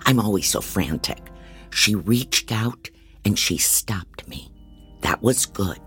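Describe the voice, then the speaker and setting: Low, unhurried, frazzled private eye. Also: male voice, expressive, bright, forceful tone, slightly rough, in a whisper, soft background music, full character voice. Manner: rambling, self-doubting, wry. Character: frantic, disorganized unlicensed private investigator. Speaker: narrator and lead actor. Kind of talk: first-person dramatic audio fiction narration.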